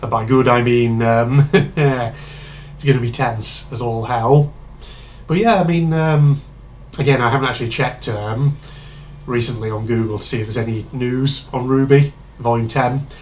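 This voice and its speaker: A man chats his way through a reaction video, meandering and full of fillers. His voice sits at an ordinary middle pitch and sounds a touch hoarse and congested from a cold. A faint hum of noise sits behind him. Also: steady tone, unhurried, a little mellow. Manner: rambling, chatty, many fillers and asides. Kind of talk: casual reaction commentary.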